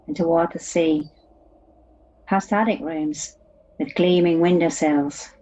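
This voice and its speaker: Audio play: actress as solemn poetry reciter, unhurried, dreamy, slightly muffled lo-fi sound. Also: female voice, mid-range voice, a little mellow, close to an everyday voice.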